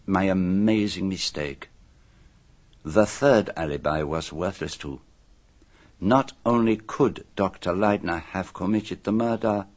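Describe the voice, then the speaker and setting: An actor playing a self-assured, methodical detective in a radio drama, speaking formally with dramatic emphasis. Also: male voice, deep voice. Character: famous male detective, methodical, self-assured, theatrical in revealing a murderer.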